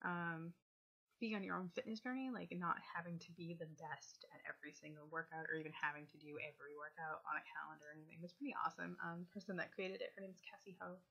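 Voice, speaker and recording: A woman in her thirties talks through a casual vlog in a low, gravelly voice that still has a bright edge. She is losing her voice to a cold and rambles along with plenty of ums and likes. The recording sounds a little dull and lo-fi. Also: steady tone, quick pace. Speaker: vlogger.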